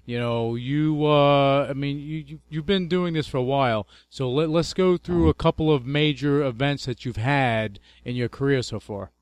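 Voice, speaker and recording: Smooth-voiced New radio host. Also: male voice, mid-range voice, normal speed, hardly any pauses, dry recording. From New York. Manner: friendly, rambling, restarts often, laughs easily. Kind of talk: casual interview chat.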